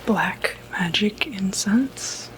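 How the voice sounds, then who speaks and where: A woman whispering breathily in an ASMR medical roleplay, playing a reassuring doctor with a hesitant, gentle bedside manner. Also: medium pitch, lively intonation, extremely slow, rough recording. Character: calm, reassuring doctor who treats demonic possession.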